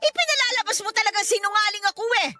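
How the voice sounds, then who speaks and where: Sharp, very high-pitched scolding mother-in-law. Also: very fast, no echo, soft background music. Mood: haughty scolding. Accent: Filipino. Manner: haughty, confrontational, taunting. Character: domineering, scolding older mother-in-law, woman of the house. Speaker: radio drama actor. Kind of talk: Filipino radio drama acting.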